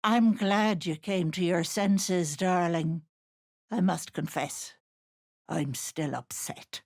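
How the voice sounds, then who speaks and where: A woman's voice: fairly low pitch, slow pace, darkish tone. A woman voicing a wicked old hag who poses as a sweet, folksy auntie in a video game, with cloying endearments and theatrical menace. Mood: mock sympathy. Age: older. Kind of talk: video game character acting.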